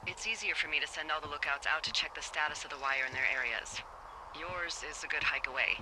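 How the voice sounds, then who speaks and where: Wry, easygoing American woman lookout supervisor, low-voiced, naturalistic game dialogue over noisy walkie-talkie. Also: fast. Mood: businesslike. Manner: dry, teasing, conversational. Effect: walkie-talkie radio. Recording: noisy radio with ambience.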